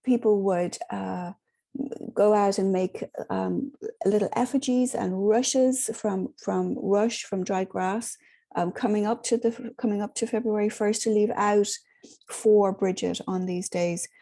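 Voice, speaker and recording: A hoarse woman with a sore throat, gently leading an online spiritual group in a rambling, casual way with many pauses. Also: mid-range voice, fairly even intonation. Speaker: spiritual workshop host.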